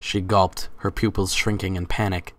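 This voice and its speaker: A man narrates a story from a script. His voice is extremely low, and he serves as the storyteller in a dramatic reading. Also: flat delivery, moderate pace, fairly bright tone.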